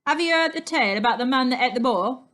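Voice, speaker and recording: A woman's voice: high voice, expressive, fast talker, a bit bright, pitched above the performer's own voice. Woman putting on a taunting, snarling fairground man. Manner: taunting, growled questioning. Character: snarling, mocking boorman at a fairground cookhouse.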